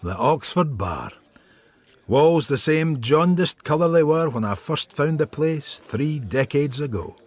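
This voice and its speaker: An actor playing a world-weary middle-aged detective, narrating his thoughts in terse phrases in a husky voice. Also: on the high side, lively intonation, unhurried, a little mellow. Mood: brooding. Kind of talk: inner-monologue narration in radio drama.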